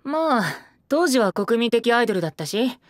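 A man's voice: very high-pitched, expressive, normal speed, dry recording, studio quality. An actor playing a cheeky, cute teenage boy idol in an audio drama, boyish and playful. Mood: pouty, teasing. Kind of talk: Japanese audio drama acting.

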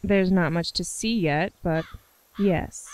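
A woman's voice: fairly low pitch, slow, darkish tone, a little hiss or hum. A woman playing a cool, aloof, enigmatic young beauty of few words in a radio play. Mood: cool, detached.

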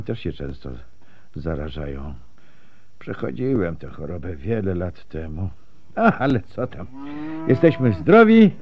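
A man's voice: fairly low pitch, muffled recording. An actor playing a talkative, pious, good-natured milkman in a folksy, chatty way in a Polish radio drama.

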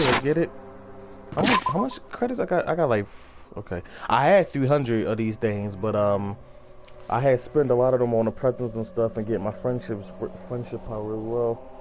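A man's voice: mid-range voice, moderate pace. Slangy man streaming a game, slightly scratchy. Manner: slangy, profane, talking to viewers. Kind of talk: casual let's play commentary with slangy banter, reading dialogue aloud. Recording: game music behind the voice.

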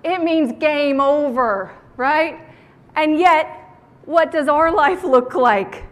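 A woman giving a motivational keynote talk to an audience on stage, with an animated, theatrical delivery and playful emphasis. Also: sits high, takes it slowly. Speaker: keynote speaker. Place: large indoor conference room, on stage. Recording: echoey conference hall sound.

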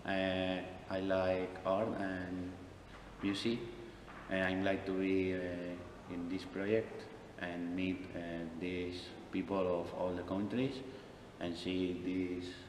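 A man's voice: sits low, level tone, takes it slowly, recorded from a distance. A man in his twenties with a Spanish accent giving a casual testimonial. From Spain. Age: 20s. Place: indoor art gallery. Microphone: camera mic at a few feet. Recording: echoey noisy gallery hall.

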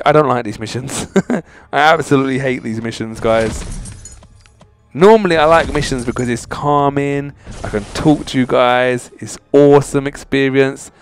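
A man hosting a gaming channel, chatting casually and in exasperation to his viewers as he comments on his play. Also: medium pitch. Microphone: voice-over over mobile game footage.

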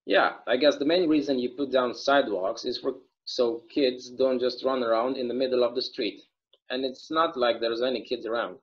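A folksy small-town bartender played by a young man at a table read, chatty and given to rambling storytelling. His pitch sits mid-range for a man. The sound is a slightly dull, lo-fi video call. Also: fairly even intonation, normal speed, close to an everyday voice. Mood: reminiscing. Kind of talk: online table read of a stage play. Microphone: video call microphone.

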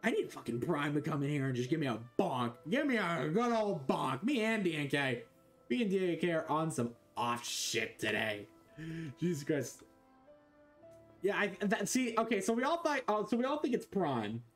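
A young man from Florida streaming a video game from his bedroom, joking, swearing and reacting loudly. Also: on the high side, very animated, normal speed, faint music behind. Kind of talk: casual game-stream commentary. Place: small bedroom indoors. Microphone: desk mic, wearing a headset.

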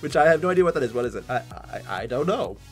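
Animated, high-pitched young man reacting. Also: racing, faint music behind. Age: young adult. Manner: amused, exclamatory, laughs through words. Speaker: reaction video host. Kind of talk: casual reaction chat. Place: furnished room at home, on a couch.

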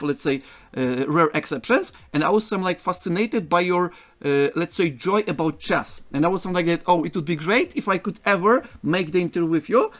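A middle-aged man, hosting a casual interview with wordy, roundabout questions. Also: on the high side. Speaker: interviewer, streamer. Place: room at home, video call with headset.

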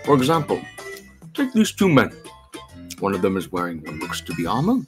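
A man voicing a polite, scholarly catboy gentleman, reading game lines in a formal, measured way. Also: low-pitched, huge pitch swings, music in the background. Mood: polite, studious.

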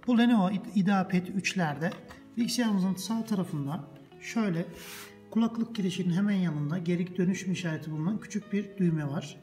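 A man's voice: high-pitched, a little fast, a little mellow, soft background music. A man hosting a casual step-by-step computer tutorial, repeating each step patiently for his viewers. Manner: patient, repeats steps, addresses viewers as friends. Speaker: tech tutorial host. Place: indoors at a desk, off camera.

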